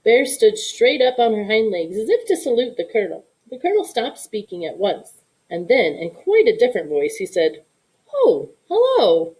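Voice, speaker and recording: A woman narrates a children's story aloud. Her mid-pitched voice moves in an animated, expressive way, in the manner of a storyteller. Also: moderate pace, poor audio. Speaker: storybook reader.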